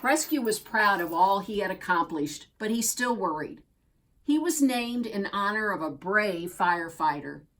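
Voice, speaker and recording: A woman reading a children's picture book aloud as a storyteller, expressively and deliberately, drawing out key words. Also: medium pitch, lively intonation, a bit bright, poor audio. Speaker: storybook reader.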